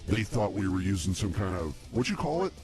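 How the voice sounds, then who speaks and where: A man playing an easygoing, slightly slow comedy podcast host, plain-spoken and hesitant in improvised banter. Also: low-pitched, very animated, a little mellow, faint music behind. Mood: conciliatory.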